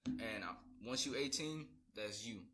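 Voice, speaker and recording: An eighteen-year-old man hosting a podcast in casual chat, excitable and jokey. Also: mid-range voice, a little hiss or hum. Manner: excitable, jokey, quick to laugh. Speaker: podcast host.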